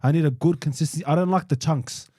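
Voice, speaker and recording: A man's voice: on the high side, fast talker, dry recording, studio quality. A man from Australia co-hosting a podcast, chatting casually and repeating his points with lots of "bro". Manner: repetitive, animated, calls others bro. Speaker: podcast co-host. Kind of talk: casual chat.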